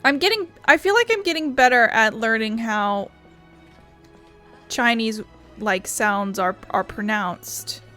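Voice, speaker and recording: A woman's voice: on the high side, slow pace, pushed and bright, faint music behind. A woman giving casual, chatty commentary as she plays a game, thinking aloud and swearing now and then. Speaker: gaming video host.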